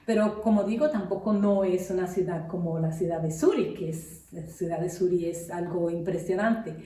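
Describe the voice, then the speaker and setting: A middle-aged woman from the Dominican Republic, a nurse, answering interview questions calmly with frequent hesitations. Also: lowish voice, roomy sound, distant mic. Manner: unhurried, many hesitations. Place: her own home.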